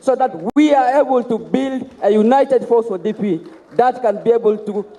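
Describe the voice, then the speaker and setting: High-voiced man rallying delegates. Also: moderate pace, warm tone. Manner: repetitive rallying slogans. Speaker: candidate for party office. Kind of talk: campaign speech at a party conference.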